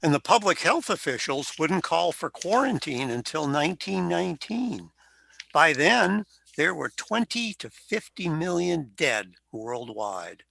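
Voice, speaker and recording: Brief: a man as a proud old war veteran's ghost, gravelly, lively in pitch. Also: a little slow, no echo, heavily acted. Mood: somber. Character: male World War One veteran ghost, wistful, proud. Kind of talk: amateur radio play acting.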